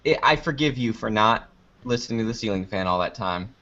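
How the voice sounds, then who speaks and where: A man's voice: fairly low pitch, lively intonation, a little fast, pushed and bright, muffled recording. A man chatting casually and jokingly as a guest being interviewed, with a playful way of talking. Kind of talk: casual chat.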